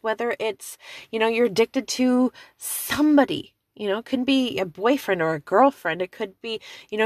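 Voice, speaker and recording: A woman in her forties delivers an informal sermon with chatty fillers. Her pitch leaps high on emphatic calls. The recording is dry and close. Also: no echo. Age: 40s. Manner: conversational with frequent fillers. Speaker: preacher. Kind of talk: informal preaching.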